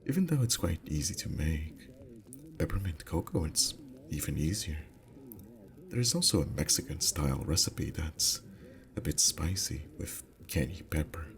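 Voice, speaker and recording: Very deep, soft-spoken boyfriend played by a man. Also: sing-song, normal speed, creaky, crowd noise, exaggerated voice. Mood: relaxed, musing. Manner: soft, intimate, slipping into whispers. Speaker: voice actor. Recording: faint ambient background noise.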